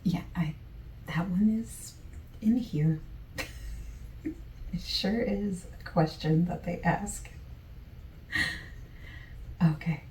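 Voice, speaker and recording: A woman playing a friendly, easygoing survey taker in a softspoken, partly whispered ASMR roleplay, with gentle, chatty asides. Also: fairly low pitch, extremely slow, pauses often, reverberant, recorded from a distance, slight background noise, poor audio. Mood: chatty, amused. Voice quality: soft, breathy, partly whispered.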